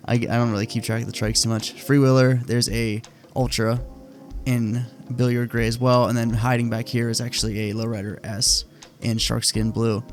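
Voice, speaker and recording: A man getting over a cold, chatting casually and opinionatedly in a relaxed, rambling way full of fillers, hosting his own video. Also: mid-range voice. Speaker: motorcycle vlogger. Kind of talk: casual screen-share commentary.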